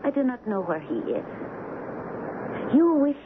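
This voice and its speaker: An actress playing a proud, loyal, spirited captain's woman in a radio drama, with deliberate, formal phrasing. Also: sits high, big pitch swings. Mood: affectionate, serene. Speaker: actor. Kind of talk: 1970s radio drama acting. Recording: noisy, tinny old radio broadcast.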